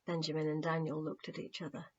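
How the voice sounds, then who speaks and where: A woman reading a story as a dramatic narrator, expressive and acting out the moments, on a muffled home recording. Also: low-pitched, quick pace, husky. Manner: expressive, acts out dialogue. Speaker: author reading own book.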